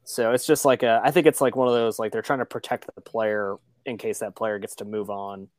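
A man's voice: lowish voice, flat delivery, a little fast, no room sound. A man on a sports podcast panel, explaining casually and hesitantly with many filler words. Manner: hesitant, frequent "like".

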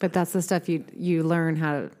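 A middle-aged woman speaks in a slightly low-pitched voice. She chats casually and conversationally as a co-host. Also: fairly even intonation, normal speed, darkish tone. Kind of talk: casual chat.

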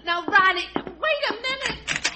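A woman's voice: very high-pitched, expressive, measured pace. An actress playing a sensible, weary housewife scolding her foolish husband in a 1950s radio sitcom. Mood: exasperated. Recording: noisy old radio show recording.

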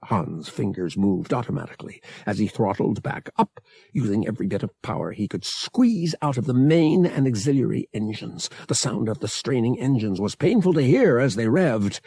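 A man's voice: medium pitch, very animated, moderate pace, mellow, slightly rough, no echo, clean studio sound. A man reading an adventure novel as an audiobook narrator, in a dramatic scripted style.

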